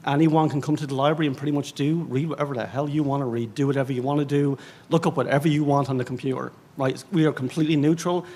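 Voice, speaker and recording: Irish male panelist, husky, mid-pitched, chatty and self-deprecating. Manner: rambling, animated, self-mocking, heavy with fillers. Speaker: panelist, librarian. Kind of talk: conference panel discussion. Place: conference stage.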